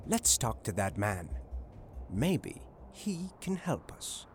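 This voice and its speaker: A man playing a confident, shrewd detective in a dramatised audiobook, polite, measured and knowing. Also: mid-range voice, sing-song, soft background music. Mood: quietly plotting.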